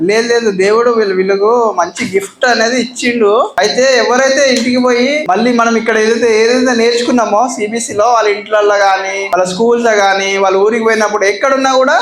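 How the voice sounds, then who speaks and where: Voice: mid-range voice, fairly even intonation, fast talker, hardly any pauses. A children's Bible club host, prompting and instructing children in call-and-response talk. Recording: echoey hall, faint noise.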